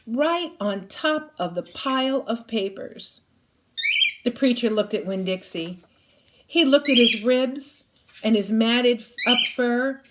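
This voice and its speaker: High-voiced woman reading a children's story. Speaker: teacher reading aloud. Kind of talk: expressive children's novel read-aloud.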